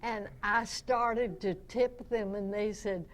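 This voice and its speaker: A woman in her nineties, a guest reminiscing in an unhurried, anecdotal way before a club audience. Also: medium pitch, steady tone. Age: 90s. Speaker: guest, veteran radio actress and singer. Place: club meeting room with audience. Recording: noisy live meeting-room recording.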